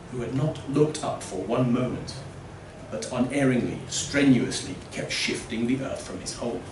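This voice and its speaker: A man narrates literary fiction in a mid-pitched voice, placed at some distance in a roomy space. His delivery is theatrical and deliberate, leaning firmly on important words. There is faint noise under the reading. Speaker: story reader. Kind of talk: dramatic literary story narration.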